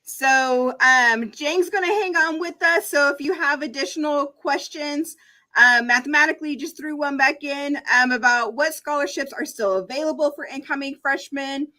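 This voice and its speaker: A woman's voice: high voice, normal speed, bright, forceful tone. A woman moderating an online information session, reading out audience questions with frequent ums. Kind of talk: webinar moderation.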